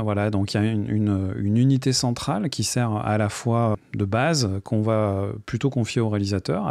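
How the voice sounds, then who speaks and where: A man's voice: mid-range voice, a little mellow, no echo, studio quality. A man giving a casual, enthusiastic product review to camera in an informal, colloquial way. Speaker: video creator reviewing gear.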